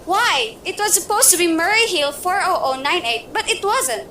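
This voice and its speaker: Lady doing a jumpy bedridden wife in a radio play, high bright voice, whiny and pushy, hissy tape. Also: big pitch swings, moderate pace, exaggerated voice. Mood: anxious. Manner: fretful, shrill, insistent. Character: nervous bedridden wife alone at night. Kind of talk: amateur radio play acting.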